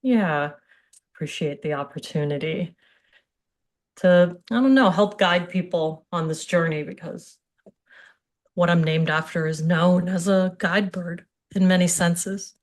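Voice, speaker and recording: American woman, middle-aged, kinda low voice, chatty informal online talk as a physical therapist. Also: a little slow. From Hawaii, United States. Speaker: pelvic floor physical therapist presenting. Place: indoors on a video call.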